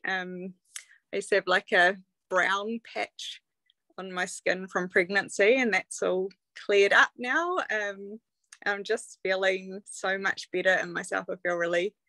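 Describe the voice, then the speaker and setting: A woman's voice: mid-range voice, bright, forceful tone, no room sound. A woman, probably in her thirties, giving a casual, chatty testimonial full of ums and likes. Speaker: product testimonial speaker.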